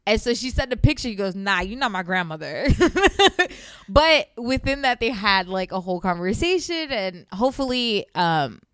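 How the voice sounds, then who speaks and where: A woman's voice: on the high side, very animated, moderate pace, a bit bright, clean tone, no room sound, clean studio sound. A woman co-hosting a chat show, telling anecdotes in a casual conversational style. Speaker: podcast co-host.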